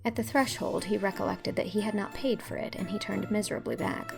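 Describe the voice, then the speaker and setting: A woman narrating a classic novel with an even, storytelling delivery. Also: medium pitch, brisk, gravelly, over music. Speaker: audiobook narrator. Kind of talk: scripted narration.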